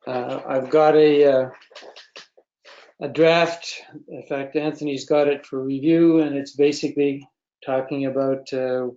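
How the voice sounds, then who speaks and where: Flat-toned man on a dull conference line. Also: mid-range voice, unhurried. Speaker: association speaker on the call. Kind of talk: informal business briefing. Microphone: conference call connection. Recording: dull call audio, faint noise, clicks.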